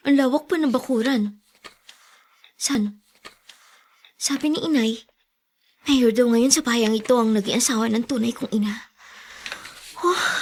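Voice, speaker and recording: An actress with a Filipino accent playing a distraught young adopted daughter in a radio drama, pleading and emotional. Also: female voice, high voice, husky. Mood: nervous, hushed. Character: distraught young adopted daughter seeking her real mother.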